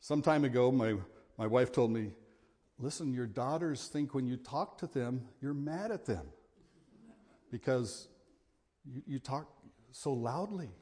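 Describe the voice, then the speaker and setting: A middle-aged man with a big voice preaching a sermon, earnest and at times forceful in his exhortations. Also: medium pitch, expressive, unhurried, long pauses.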